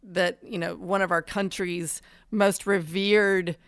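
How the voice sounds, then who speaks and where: A woman's voice: fairly low pitch, fairly even intonation, takes it slowly, smooth, no room sound, clean studio sound. A woman co-hosting a morning radio show, chatting in an earnest, emphatic way. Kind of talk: casual radio chat.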